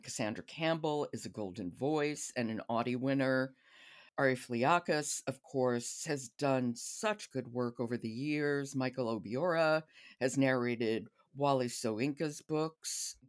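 A woman's voice: rumbling low, a little slow. A woman hosting a podcast, chatting casually about books. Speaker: podcast host. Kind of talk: casual book chat.

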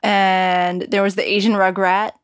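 A woman's voice: mid-range voice, flat delivery, a little slow, clean tone, dry recording, clean studio sound. A woman with an American accent telling personal stories in a conversational, candid and wryly self-deprecating way. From San Francisco Bay Area, California. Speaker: personal storyteller. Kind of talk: conversational personal narrative commentary. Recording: music bed under the voice. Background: music.

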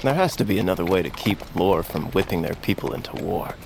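Young grandson: deep-voiced, lively, inquisitive young man in fantasy audio drama, ambience behind. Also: a little fast, vocal fry, background noise. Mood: questioning. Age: young adult. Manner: earnest, inquisitive. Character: earnest, protective, questioning young grandson. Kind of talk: dramatic audio fiction dialogue.

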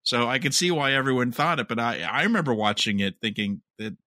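A man's voice: medium pitch, expressive, brisk, bright, forceful tone, no room sound, studio quality. A man co-hosting a podcast in casual chat, rambling a little and often repeating words as he goes. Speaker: podcast co-host. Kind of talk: casual chat about TV and fandom.

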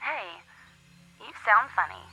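An actress playing a chatty, prying young churchgoing friend in a radio drama, heard through a telephone filter. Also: female voice, mid-range voice, sing-song, slow pace, bright, forceful tone, slightly rough, lo-fi sound, faint music behind, full character voice.

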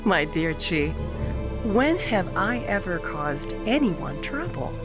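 A woman's voice: fairly low pitch, big pitch swings, slow, loud music behind the voice. A woman playing a wry, philosophical rebel prisoner in a science fiction audio drama, with a lofty, theatrical, teasing manner. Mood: guarded, sly. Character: wry, world-weary alien rebel prisoner, grandly philosophical.

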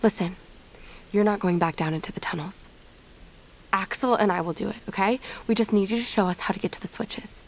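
A determined woman played by an actress with a medium-pitched voice, urgent and secretive as she gives instructions in a sci-fi audio drama. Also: a little fast, close to an everyday voice, rough recording. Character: determined female plotter, low-key and urgent. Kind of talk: naturalistic audio drama acting.

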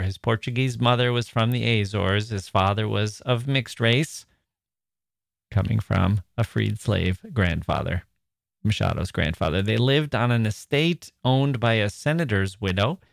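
A man hosts a literature podcast in a lowish voice, chatting through his notes with wry, self-mocking asides to the listeners. The sound is dry and close, with no room around it. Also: unhurried, gravelly, dry recording. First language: English. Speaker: podcast host. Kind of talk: scripted podcast narration.

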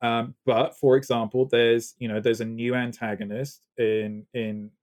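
A man's voice: medium pitch, little pitch movement, measured pace, darkish tone. Male guest, faint British accent, chatty and enthusiastic, rambling answers. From Britain. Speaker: guest composer. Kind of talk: casual podcast interview.

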